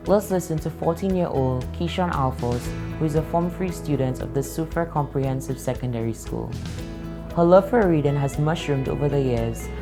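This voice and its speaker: Female presenter, very deep pitch, formal scripted intro read over prominent guitar backing. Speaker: presenter introducing a student reader. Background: guitar music.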